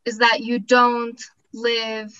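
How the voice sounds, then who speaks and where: A woman's voice: fairly high pitch, monotone, takes it slowly, sharp tone, clean tone, highs cut off. A woman in her twenties from Costa Rica, a graduate student giving an informal, enthusiastic talk at an information session. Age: 20s. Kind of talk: informal testimonial talk at an online info session.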